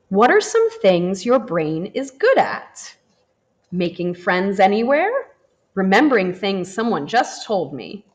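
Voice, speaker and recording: A woman, a teacher, reading a children's picture book aloud in a gentle, expressive manner. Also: mid-range voice. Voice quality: expressive and animated, slow and gentle. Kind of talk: children's storybook read-aloud. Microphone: camera over the book, hands turning pages.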